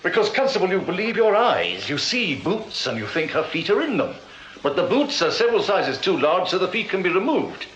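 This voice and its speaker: A showy, proud Victorian magician, with a highish and very animated voice. He is florid and wry, in radio drama style. The sound is roomy and slightly muffled. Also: a little fast. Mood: showy, explaining. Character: theatrical, proud Victorian stage magician held in prison. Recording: dull, roomy, noisy radio drama copy.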